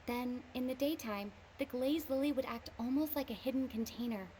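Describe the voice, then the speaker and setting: A woman's voice: high voice, moderate pace, dark tone, close to an everyday voice. A woman delivering scripted video game dialogue in an explanatory manner. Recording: clear background noise and clicking.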